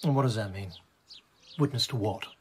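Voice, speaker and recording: A man's voice: fairly low pitch, normal speed, slight background noise, natural voice. An actor playing a guarded, curt man back from war, in a radio drama. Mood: suspicious. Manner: curt, suspicious. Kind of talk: British radio drama acting.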